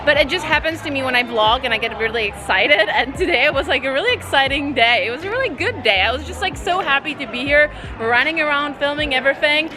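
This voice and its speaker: A chatty, excitable young woman from Poland, vlogging casually as she travels through city streets and taxis, laughing often. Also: high-pitched, fast talker, few pauses. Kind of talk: casual travel vlog to camera. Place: outdoors on city streets and in a taxi. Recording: noisy outdoor vlog with loud crowd and music. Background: loud street crowd and music.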